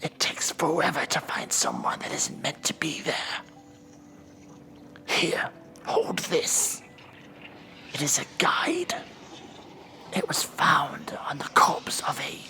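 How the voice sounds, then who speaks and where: Stern, weary demon judge of the underworld, played by a man, heavily whispered, slow and scolding, for horror ASMR. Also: a little high, pushed and bright, exaggerated voice. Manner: scolding, weary, deliberate, commanding. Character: impatient, stern male demon judge of Hell.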